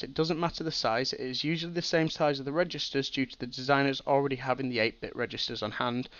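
A man's voice: medium pitch, fairly bright tone, no echo. Young male streamer, sniffly from a cold, loose think-aloud teaching, dry and slightly muffled home mic. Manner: thinking aloud, many ums and restarts. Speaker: streamer teaching game programming. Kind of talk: casual live-stream explanation.

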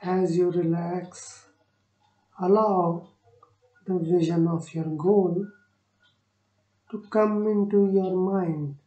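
Very slow, echoey woman guiding meditation. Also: lowish voice, steady tone, dark tone, highs cut off. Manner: slow, soothing delivery with long pauses between short phrases. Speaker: meditation guide. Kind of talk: guided meditation narration. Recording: roomy echo on the voice.

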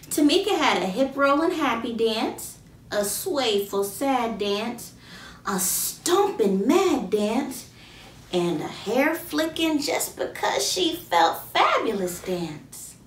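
A woman in her thirties reads a children's story with expressive, drawn-out emphasis. Her voice sits a little high. It is recorded in an echoey room, slightly away from the mic, with faint noise behind her. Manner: expressive, drawn-out emphasis, questions to young listeners. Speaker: children's book author reading aloud. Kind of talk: picture-book read-aloud for children. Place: small plain indoor room. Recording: roomy, distant mic, faint background noise.

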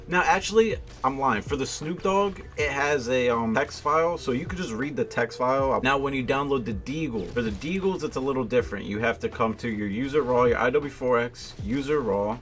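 A young man giving casual gameplay commentary in a slangy, informal, conversational way. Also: medium pitch. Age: young adult. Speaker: gaming video host. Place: indoor room at home, gaming setup.

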